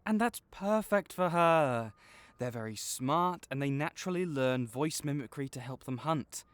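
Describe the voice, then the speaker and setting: A man playing a kind, patient veterinarian for magical creatures in a comforting audio roleplay, explaining things gently and encouragingly. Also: fairly high pitch, animated, a little slow, no echo. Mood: upbeat, approving. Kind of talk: comforting audio roleplay, one-sided conversation.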